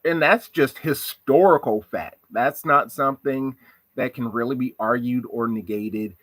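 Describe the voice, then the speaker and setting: A man's voice: mid-range voice, a little slow, fairly bright tone, dry recording, studio quality. A man from the United States giving a reflective monologue on social issues, asking rhetorical questions. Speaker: commentator.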